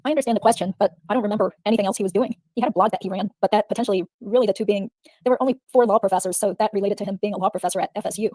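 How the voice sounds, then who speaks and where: A woman reading the part of a female witness giving guarded, measured answers in a deposition. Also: mid-range voice, mellow, dry recording, lightly acted. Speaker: reader in a deposition reenactment. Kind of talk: read-aloud legal reenactment.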